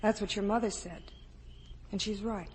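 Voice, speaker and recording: An actress playing a gentle, pained, resigned young woman in a 1950 radio drama. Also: medium pitch, fairly even intonation, moderate pace, lightly acted. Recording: faintly noisy 1950 radio broadcast.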